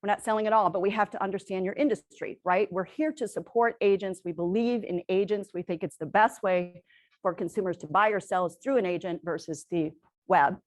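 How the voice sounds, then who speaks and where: A woman presenting to a room of real estate agents, energetic and quizzing the audience, who says she is losing her voice. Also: medium pitch, fairly quick. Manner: energetic, asks the audience questions, tags lines with "right?". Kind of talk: sales presentation to a live audience.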